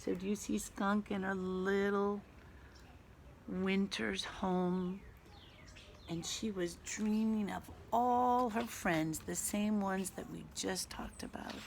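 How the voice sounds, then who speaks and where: Slow-paced woman teacher reading to children. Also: medium pitch, creaky. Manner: expressive, asking questions, leaving pauses for answers. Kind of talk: interactive children's read-aloud. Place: outdoors in a back garden. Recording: faint outdoor background noise.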